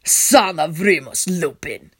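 A woman voicing a cruel, ancient male vampire prince in a storybook, speaking in a cold, rough, slow voice. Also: low voice, lively intonation, pitched below the performer's own voice, exaggerated voice. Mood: menacing.

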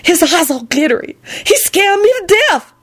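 A woman voicing a loud, pious, dramatic woman in a shrill, folksy, exclamatory way for a story. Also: sing-song, moderate pace. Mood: frightened whisper. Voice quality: shrill, wailing.

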